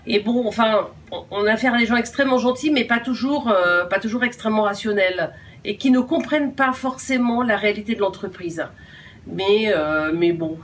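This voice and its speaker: A woman who works as a bookseller, giving a recorded testimony about running a business in a measured, hesitant way with frequent pauses. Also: mid-range voice. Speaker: bookseller, entrepreneur. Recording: distant mic with faint room noise.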